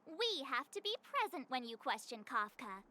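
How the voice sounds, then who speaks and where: A woman voicing a perky, outspoken teenage adventurer girl in a video game, with a bubbly, cheeky, expressive delivery. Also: sits high, moderate pace, slight background noise, heavily acted. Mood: cheeky, awkward.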